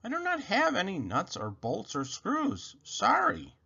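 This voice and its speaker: Excitable high-pitched little rabbit. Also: male voice, measured pace, creaky, breathy edge, highs cut off, full character voice. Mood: whiny, annoyed. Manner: whiny, exclaiming, easily upset. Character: excitable fussy young male rabbit. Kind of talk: children's storybook read-aloud.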